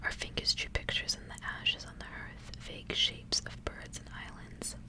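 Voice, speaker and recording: Whispering woman reading a story, ASMR style. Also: moderate pace. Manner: hushed, unvoiced whispering throughout. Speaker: ASMR reader.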